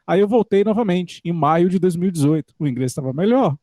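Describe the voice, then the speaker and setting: A man's voice: high voice, lively intonation, a little fast, mellow, no room sound, studio quality. A man from Brazil hosting a podcast in a casual interview, chatty and informal. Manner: chatty, informal, uses "cara". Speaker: podcast host.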